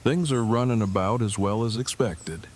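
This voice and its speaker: A man's voice: on the low side, normal speed, a little hiss or hum, natural voice. A man playing an earnest, awkward, brainy engineer who talks in verbose, stilted sentences in an audio drama. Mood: stiff, measured. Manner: verbose, stilted, formal phrasing. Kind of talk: fan audio drama dialogue.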